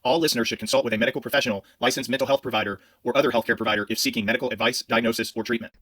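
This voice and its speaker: A man's voice: mid-range voice, fairly even intonation, rapid-fire, a bit bright, no room sound. A man reading a scripted podcast disclaimer as its host, in formal wording.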